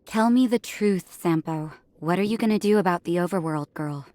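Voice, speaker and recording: A woman playing a calm, shrewd, maternal woman who gently scolds, in a video game. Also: lowish voice, moderate pace, no room sound, natural voice. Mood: knowing, gentle.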